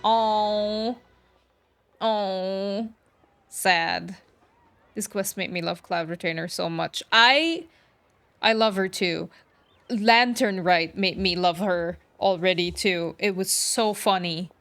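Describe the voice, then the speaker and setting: A woman's voice: mid-range voice, slow, a bit bright. A woman game streamer chatting casually with viewers and reacting aloud as she plays. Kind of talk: casual live-stream commentary.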